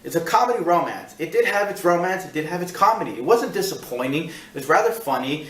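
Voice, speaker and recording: A man hosting a casual film review, talking in a rambling, halting way and repeating himself as he searches for words. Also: a little high, animated. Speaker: film review show host. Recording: echoey room, distant mic.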